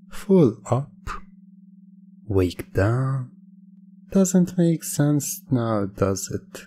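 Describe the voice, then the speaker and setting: Very slow, husky low-voiced man. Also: warm tone. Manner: deliberate, pausing, teasing, commanding. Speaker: hypnosis ASMR performer. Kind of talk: hypnosis ASMR, slow scripted patter.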